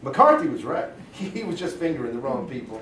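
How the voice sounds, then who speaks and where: A man's voice: medium pitch, sing-song, brisk, a bit bright. A man in a staged reading playing an agitated, folksy segregationist state official who sounds alarmist and emphatic. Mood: deferential. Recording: roomy, distant mic, faint noise.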